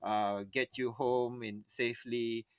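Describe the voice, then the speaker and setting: A man's voice: mid-range voice, steady tone, slow pace, clean tone, no room sound. A young man, chatting on a podcast and telling a long personal story that rambles, stops and restarts mid-sentence. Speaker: podcast co-host. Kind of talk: casual storytelling chat.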